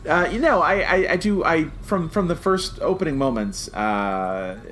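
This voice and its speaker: A man's voice: a little high, lively intonation, a little slow, fairly bright tone, a little hiss or hum. A man co-hosting a podcast, giving his opinions in a casual, conversational review chat. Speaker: podcast co-host.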